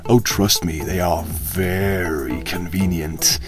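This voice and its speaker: A man voicing a sly male pony villain who speaks in a slow, hissing, menacing voice. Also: low-pitched, steady tone, faint music behind, pitched below the performer's own voice. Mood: sinister.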